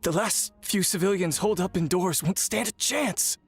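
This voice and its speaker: An actor playing a grave, weary male warrior in a video game, a sole survivor with a husky voice. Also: sits high, moderate pace. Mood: bleak despair. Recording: game music behind the voice.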